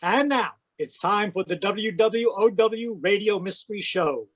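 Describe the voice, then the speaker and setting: A man's voice: high voice, expressive, unhurried, a bit bright, no room sound, clean studio sound, exaggerated voice. A man performing as an upbeat, salesmanlike announcer of old-time radio commercials and shows.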